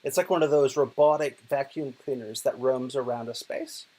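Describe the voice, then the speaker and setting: A man's voice: mid-range voice, normal speed. Ill American man talking from bed. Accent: American, Oklahoma. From Oklahoma, United States. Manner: relaxed, chatty, many fillers and restarts. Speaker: digital poet and professor. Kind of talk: casual recorded video talk. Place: bedroom, lying in bed. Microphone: self-recorded video.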